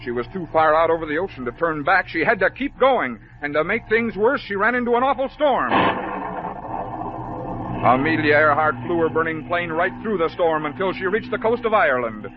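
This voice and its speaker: An American man narrating a scripted story for children as a 1950s radio host, phrasing it clearly and dramatically. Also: a little high, animated, fairly quick. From American Midwest. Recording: dull, noisy vintage 1950s radio recording.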